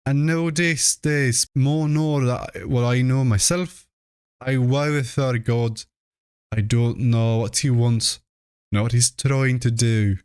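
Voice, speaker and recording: Man voicing a frightened rustic New woman, pitched up. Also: fairly even intonation, slow, darkish tone, clear, resonant tone, no room sound, studio quality, natural voice. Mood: fearful, confiding. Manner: rustic dialect, fearful confiding. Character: frightened rural New England mother, uneducated and uneasy.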